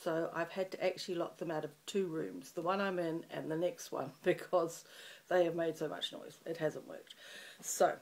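A middle-aged woman from New Zealand with a New Zealand accent and a croaky morning voice, chatting to a webcam in a small room, rambling with lots of ums. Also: low voice. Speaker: craft channel host. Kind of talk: casual chat to camera. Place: small room in front of a festive fabric backdrop.

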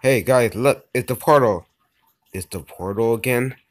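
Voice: medium pitch, measured pace, close to an everyday voice. An amateur voice actor playing a casual, bossy, wisecracking video-game character in a homemade cartoon. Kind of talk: amateur animation voice acting.

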